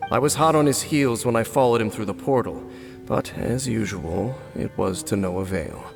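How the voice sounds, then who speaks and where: Solemn, low-voiced cursed knight. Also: male voice, vocal fry, over music. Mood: grave, composed. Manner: formal, measured, brooding, deliberate phrasing. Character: solemn, guarded male cursed former royal guard captain. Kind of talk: video game character acting.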